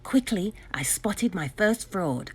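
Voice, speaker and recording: Animated woman reading a children's story. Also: mid-range voice, slow pace, slightly rough. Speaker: storybook reader. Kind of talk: expressive scripted read-aloud for children.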